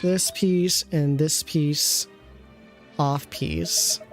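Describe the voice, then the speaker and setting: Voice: medium pitch, fairly even intonation, extremely slow, soft background music. A game streamer reviewing an account with casual commentary, thinking aloud and often trailing off mid-thought.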